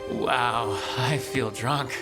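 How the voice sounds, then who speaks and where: A caring young rebel leader in a fantasy video game. His voice is mid-range, casual and sincere, like an everyday person. Music plays clearly underneath. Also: medium pitch, fairly even intonation, very slow. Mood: tender.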